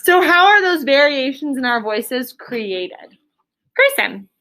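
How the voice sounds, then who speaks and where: A woman teacher leading an interactive lesson for young children, encouraging them with praise and explaining in simple, deliberate terms. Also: sits high, very animated, pushed and bright. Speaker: elementary school teacher. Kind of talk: interactive classroom lesson with questions. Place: classroom.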